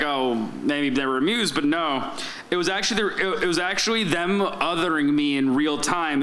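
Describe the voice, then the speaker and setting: A man delivers a wry, self-deprecating spoken-word comedy set into a stage mic at a lounge open mic. His voice sits a little high for a man, and a faint hiss of noise runs beneath it. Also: bright, forceful tone, rough recording. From Soviet Union, raised in the United States.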